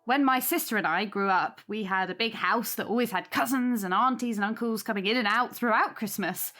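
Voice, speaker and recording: An actress plays a young single mother in an audio drama, a welcoming hostess to her neighbours. Her voice is medium in pitch, with music underneath. She speaks in a sincere, chatty way. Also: fairly quick, pushed and bright, close to an everyday voice. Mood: warm, earnest.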